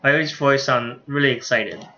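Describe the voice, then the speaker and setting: A man streaming a game, reading its story text aloud in a casual way. His medium-pitched voice comes through slightly dull, lo-fi audio. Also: fairly even intonation, normal speed, pushed and bright. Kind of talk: reading visual novel dialogue aloud, casual commentary.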